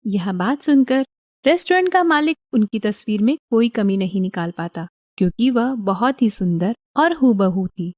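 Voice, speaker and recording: A woman narrating a scripted animated story as the storyteller. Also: mid-range voice, moderate pace, no room sound, clean studio sound.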